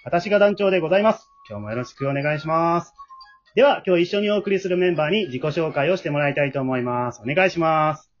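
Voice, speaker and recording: A man's voice: on the high side, fast, faint music behind. A man with a Kansai accent hosting as leader of an amateur drama troupe, in a casual but polite style. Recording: dull lo-fi remote home recording.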